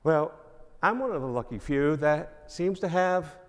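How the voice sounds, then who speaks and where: A man's voice: on the high side, lively intonation, a little slow. Older guy w/ new york accent, rambly preacher, lots of uhs, big echoey church hall. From New York, Long Island. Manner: rambling, filler-heavy, self-deprecating. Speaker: guest speaker, minister. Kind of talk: informal church address. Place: church sanctuary. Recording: long reverb of a church hall.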